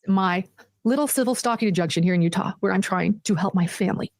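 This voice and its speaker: A woman's voice: medium pitch, fast talker, no room sound, clean studio sound. A woman reading documents aloud on a livestream with emphatic, aggrieved asides in her own defence. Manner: aggrieved, emphatic, self-justifying.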